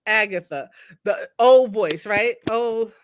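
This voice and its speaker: A woman's voice: medium pitch, slow pace, a little hiss or hum. A middle-aged American woman with a Northern accent co-hosting a casual live demo, laughing often and trailing off mid-thought. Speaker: co-host.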